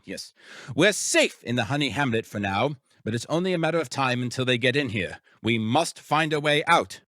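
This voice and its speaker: An actor playing an eccentric, grandiose mad scientist in a video game, secretly villainous, with a theatrical, pompous, wordy manner. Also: male voice, medium pitch, sharp tone, no echo, studio quality. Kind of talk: video game character voice acting.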